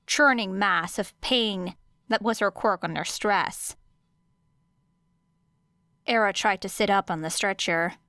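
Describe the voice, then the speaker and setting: A woman narrating fiction as an audiobook, with expressive storytelling. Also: medium pitch, smooth, no echo, studio quality.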